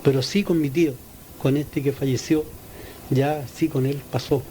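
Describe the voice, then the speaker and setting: A man giving an informal spoken account of a personal experience as an interviewee, halting and repeating his words. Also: medium pitch, fairly even intonation, mellow. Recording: noisy ambient field interview recording.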